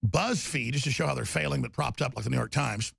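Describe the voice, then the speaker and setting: A man hosting a talk radio show, raspy and torn-up in tone, rambling emphatically with loud bursts and low mutters. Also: fast, no room sound, clean studio sound. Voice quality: raspy, gravelly voice. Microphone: studio microphone.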